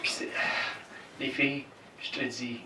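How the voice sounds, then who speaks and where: A man's voice: mid-range voice, level tone, a little slow. Male stand-up, non-native speaker, crude crowd banter; noisy, roomy live recording from a distance. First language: English. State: nervous. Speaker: stand-up comedian. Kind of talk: stand-up set in a comedy club. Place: comedy club stage. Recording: echoey club from far off, noisy.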